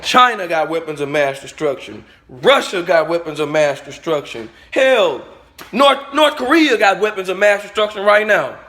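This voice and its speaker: High-pitched man, vlogger, blunt profane rant to camera. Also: sing-song, moderate pace, a bit bright. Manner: blunt, profane, preachy, talks straight at the viewers. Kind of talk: ranting monologue to camera.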